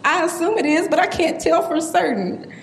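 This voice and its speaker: A woman's voice: very high voice, lively intonation, normal speed. An actress playing a tough young woman shaken by grief, pleading and blunt in an audio drama. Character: grieving, tough young woman, shaken by her boyfriend's death. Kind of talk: full-cast audio drama acting. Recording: roomy echo, faint background noise.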